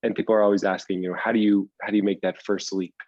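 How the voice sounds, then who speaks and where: A man from the US East Coast talks casually as an interview guest. His voice is on the low side, and the recording is dry and a bit lo-fi. He explains things in an unassuming, self-deprecating way. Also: level tone, very fast, darkish tone, no room sound. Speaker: guest, TV writer and teacher.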